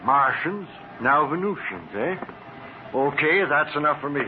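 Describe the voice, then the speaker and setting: An actor playing a disbelieving city cop in an old radio drama, brusque and mocking. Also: male voice, fairly high pitch, expressive, measured pace. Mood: dismissive, mocking. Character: gruff, scornful, disbelieving city policeman. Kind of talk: 1950s radio drama acting. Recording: tinny, noisy old radio recording.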